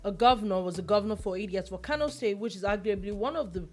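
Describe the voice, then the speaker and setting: A woman hosts a radio news chat. Her voice sits at a middle pitch, and she thinks out loud with plenty of ums and restarts. Faint music plays behind her. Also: quick pace. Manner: chatty, thinks aloud with many fillers and restarts. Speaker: radio show host.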